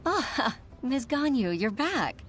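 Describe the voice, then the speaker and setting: A woman's voice: sits high, sing-song, slow, fairly bright tone, soft background music, exaggerated voice. A woman playing a polite, deferential clerk in a video game, speaking in a courteous manner. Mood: relieved, courteous. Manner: courteous, grateful.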